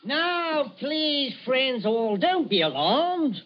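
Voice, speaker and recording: A man's voice: very high-pitched, very animated, very slow, full character voice. An actor with a London accent playing a brash magician posing as a witch doctor, full of breezy theatrical patter in a radio drama. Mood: showy, breezy. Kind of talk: old-time radio drama acting. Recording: muffled lo-fi old radio recording.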